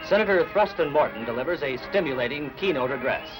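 Casting: male newsreel announcer, 1960s style, formal and measured, mid-pitched, with music clearly behind. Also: lively intonation, rough recording. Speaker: newsreel narrator. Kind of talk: 1960s newsreel scripted narration. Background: background music.

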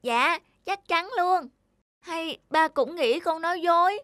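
An actor voicing an earnest, pleading little boy in an animated children's story, speaking in an insistent, childlike way. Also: very high voice, slow, dry recording, studio quality, heavily acted. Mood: earnest, sulky.

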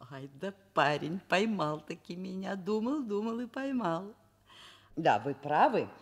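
A woman's voice: fairly low pitch, expressive, measured pace. An actress playing a wry, educated middle-aged woman professor in a radio drama, both narrating and talking in an ironic, articulate, literary manner. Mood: amused, lecturing.

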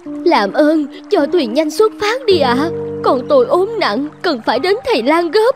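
A woman acts out a young mother in a cartoon, anxious and protesting. Her voice sits high as she pleads over background music. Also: sing-song, a little slow. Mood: anxious pleading. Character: anxious, plain-spoken young mother passenger. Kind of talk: Vietnamese cartoon dubbing.

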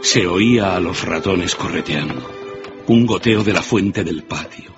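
A man narrates a radio story. His voice is very deep and his delivery dramatic. Music plays clearly behind the slightly muffled recording. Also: unhurried.

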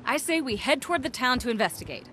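A woman's voice: high voice, big pitch swings, quick pace. A woman voicing a sensible, questioning young adventurer in a video game. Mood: puzzled, reasoning. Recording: music behind the voice.

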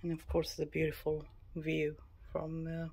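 A woman's voice: low voice, completely flat delivery, unhurried, dark tone. A woman travel vlogger giving a casual, matter-of-fact tour narration of a small cabin. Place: mostly a small cruise ship cabin. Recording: clear background ambient noise.

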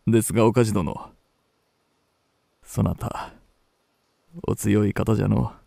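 An actor playing an aging, ardent, scheming kabuki star in a period radio drama, speaking in theatrical, archaic Japanese phrasing. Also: male voice, fairly low pitch, steady tone, measured pace, gravelly, warm tone, no echo, clean studio sound. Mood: composed, wry.

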